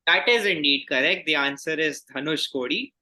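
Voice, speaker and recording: A man acts as quizmaster, reading questions aloud in a formal, courteous way. His voice sits a little high and has a very bright, crisp edge. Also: animated, fairly quick.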